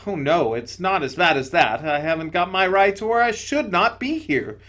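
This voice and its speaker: A man voicing a serene, gentle spirit. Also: sits high, moderate pace, voice pushed up. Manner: gentle, patient, calm reassurance. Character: serene radiant male spirit in a robe.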